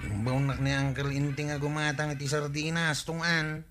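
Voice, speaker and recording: Easygoing young nephew, male actor, Filipino accent, slightly high voice, radio comedy drama. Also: level tone, normal speed, a bit bright, soft background music, close to an everyday voice. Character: carefree young nephew sent on store errand.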